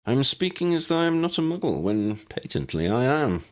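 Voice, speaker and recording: A man voicing a courteous, bookish man who speaks in a formal, measured and wordy way in an audiobook story. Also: medium pitch, very animated, pitched above the performer's own voice, natural voice. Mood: measured, apologetic.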